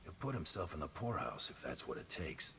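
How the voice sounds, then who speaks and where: An actor playing a cool, tough hired gun in a video game, with a dry, sardonic way of talking. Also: male voice, very deep voice, big pitch swings, a little fast, bright, forceful tone, a little hiss or hum, exaggerated voice. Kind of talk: video game dialogue acting.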